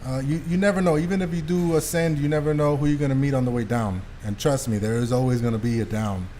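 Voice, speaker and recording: A man's voice: mid-range voice, steady tone, fast, dark tone. Streetwise man, casual podcast, slight noise. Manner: streetwise, mildly profane, anecdotal. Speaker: podcast host.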